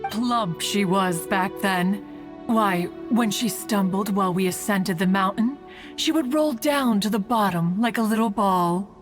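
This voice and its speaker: A woman voices an ancient female immortal from a fantasy game, proud and fond. Her delivery is stately and formal, with archaic phrasing at a middle pitch. Music plays clearly behind her. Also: unhurried, close to an everyday voice. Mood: fondly teasing. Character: ancient female immortal bird spirit, proud, fondly teasing elder guardian. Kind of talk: English game voice acting.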